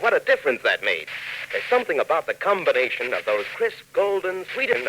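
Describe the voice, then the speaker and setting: A man's voice: a little high, sharp tone, rough recording. An American man doing a chummy, enthusiastic, folksy 1940s radio commercial aimed at children.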